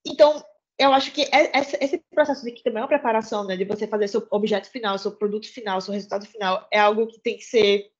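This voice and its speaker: A woman's voice: a little high, lo-fi sound. A young woman, a law student talking informally to fellow students, chatty and earnest, with many fillers and self-corrections.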